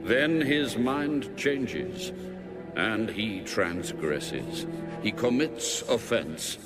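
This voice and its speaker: Male actor as God in an audio Bible drama: low, slow, solemn, declamatory, over music. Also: expressive. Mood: stern, majestic. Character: God, stern and majestic, pronouncing judgment. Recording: music bed under the voice.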